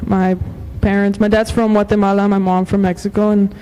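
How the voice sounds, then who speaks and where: A woman's voice: medium pitch, little pitch movement, fairly quick, dark tone, a little hiss or hum, poor audio. A young woman from Los Angeles, a musician guest, chatting casually with many fillers and frequent laughs. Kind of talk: casual interview chat.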